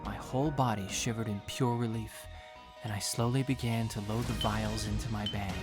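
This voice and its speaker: Guarded young laborer-narrator. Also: female voice, very deep voice, fairly even intonation, moderate pace. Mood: suspenseful narration. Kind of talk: scripted first-person narration and audio drama acting. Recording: prominent music behind voice.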